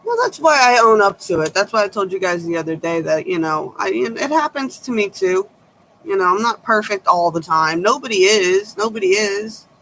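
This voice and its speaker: A woman tarot reader with a low voice, giving blunt, sharp-tongued advice in a casual chat to her viewers. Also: lively intonation, normal speed, a little hiss or hum, rough recording.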